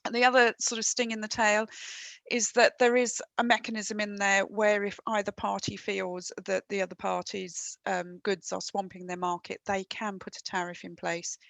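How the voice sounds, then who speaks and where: A woman's voice: mid-range voice, flat delivery, moderate pace, creaky, fairly bright tone, dry recording. A woman presenting on trade and customs rules in a webinar over a headset. She talks conversationally, with frequent ums and dry, wry asides.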